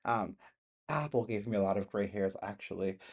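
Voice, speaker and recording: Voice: low-pitched, normal speed, creaky, slightly rough. Chatty streamer in their thirties, rambling. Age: 30s. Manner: rambling and chatty, laughs often. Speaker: live streamer. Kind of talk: casual live-stream chat with viewers. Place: home in the countryside.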